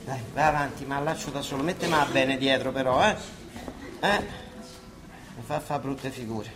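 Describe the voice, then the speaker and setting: An actor playing a bustling, grumbling but fond monsignor with a Roman accent, in a theatrical radio drama style. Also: male voice, medium pitch, normal speed, natural voice. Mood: impatient, bustling. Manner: colloquial, chivvying, muttering and exclaiming. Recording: echoey stage-like sound with music behind.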